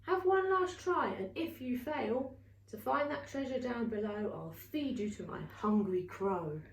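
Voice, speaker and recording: A woman's voice: a little high, expressive, unhurried. A woman voicing a bossy, menacing male wizard lizard in a children's storybook, with a commanding, threatening manner. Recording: roomy, distant mic, faint noise.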